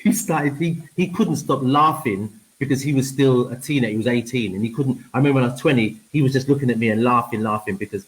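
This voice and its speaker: A man in his fifties, a comedian guest, telling stories in an animated way, laughing often and repeating words. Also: medium pitch, fast talker, few pauses, rough recording. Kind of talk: casual chat, animated storytelling.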